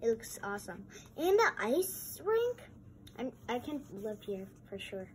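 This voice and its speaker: Slow-paced, high-voiced woman reading aloud. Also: big pitch swings. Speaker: read-aloud host. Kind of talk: reading a picture book aloud with chatty comments. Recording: noisy amateur home recording.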